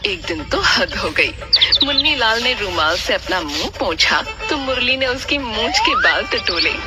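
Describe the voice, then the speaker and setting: Woman narrator, scripted moral story, expressive pitch, loud background music. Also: measured pace.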